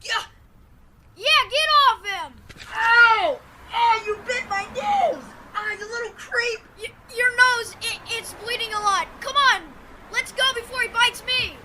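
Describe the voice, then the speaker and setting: Casting: woman as dim schoolboy bully, piping high child voice, indignant, radio play, heavy background noise. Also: animated, a little slow. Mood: alarmed, angry. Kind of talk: audio drama acting. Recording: noisy, clicky recording.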